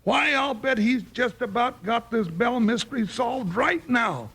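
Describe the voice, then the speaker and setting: An actor playing a kindly, folksy old cowboy sidekick with a cracking, wheezy voice in a 1950s Western radio drama. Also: male voice, very high voice, measured pace, bright, forceful tone, slightly rough, heavily acted. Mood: reassuring. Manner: folksy Western drawl, swooping, blustery.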